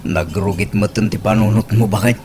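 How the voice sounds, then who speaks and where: A man's voice: lowish voice, steady tone, moderate pace, darkish tone, natural voice. A man with an Ilocano accent playing an exasperated husband who defends himself, protesting emphatically in a comedic radio drama. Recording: faint background noise.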